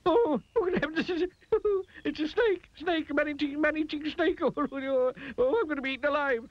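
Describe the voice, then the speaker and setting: A man voicing a timid, hysterical little storybook character in a shrill falsetto, stammering and repeating himself. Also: normal speed, highs cut off. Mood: panicked.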